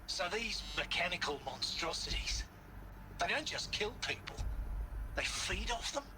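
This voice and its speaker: A man's voice: sits high, animated, a little slow, background noise. An actor playing an agitated, sarcastic, incredulous male scientist in a video game cutscene, speaking emphatically. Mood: horrified, bitter.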